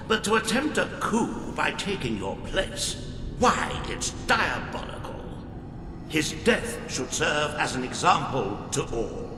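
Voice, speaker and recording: A fussy royal retainer from a video game, deferential and wordy. His pitch is a bit high and swings about in a prim, formal way, heard from a little distance in an echoing hall. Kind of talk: video game character acting. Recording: echoey hall, distant, noisy rumble behind.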